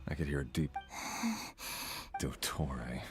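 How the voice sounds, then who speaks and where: A man voicing a weary, remorseful ancient cursed monster in a video game, with a grave, halting delivery. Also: very deep voice, huge pitch swings, music in the background, exaggerated voice. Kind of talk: dramatic video game voice acting.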